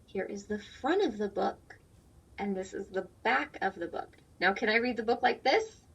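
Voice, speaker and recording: A woman's voice: mid-range voice, expressive, moderate pace, creaky, fairly bright tone, slightly rough. Woman reading to children, dull distant recording. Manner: simple, explanatory, addressing young children with questions. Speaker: teacher reading a picture book aloud to children. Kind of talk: read-aloud lesson for young children with simple explanations. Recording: dull, lo-fi sound, distant from mic.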